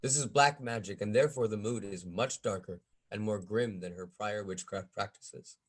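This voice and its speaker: A man's voice: on the low side, normal speed, slightly rough. A man reading stage directions as the narrator of a script table read.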